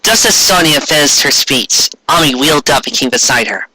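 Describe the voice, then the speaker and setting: A man's voice: a little high, lively intonation, normal speed, pushed and bright, husky, poor audio. A man reading fan fiction aloud theatrically, as comic narration with mocking asides. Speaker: fan fiction reader, commentator.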